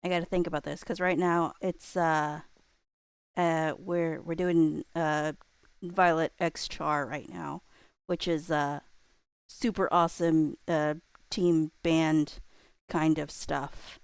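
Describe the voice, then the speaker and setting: A woman's voice: low-pitched, flat delivery, slow, clear, resonant tone, no room sound. A woman hosting a livestream in casual chat, thinking aloud in a scattered, playful way. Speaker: live streamer host.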